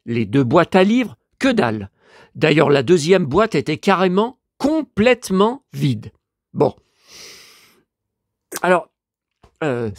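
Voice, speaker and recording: Man, head cold, stuffy, rambling, exasperated complaining, animated pitch, dry. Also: no echo. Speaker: vlogger and collector. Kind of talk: casual monologue vlog.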